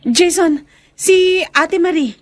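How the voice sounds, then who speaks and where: A woman playing a suspicious, impatient and sharp-tongued wife in a melodramatic radio drama. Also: extremely high pitch, slow pace. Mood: alarmed.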